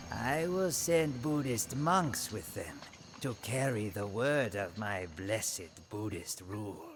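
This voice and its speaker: A proud, ambitious Burmese warrior king for a game cutscene, speaks at a measured pace over prominent music. His mid-pitched voice is grave and theatrical, declaiming his own tale with brooding, imperious weight. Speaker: narrator and protagonist of game cutscenes. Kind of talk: dramatic scripted first-person narration.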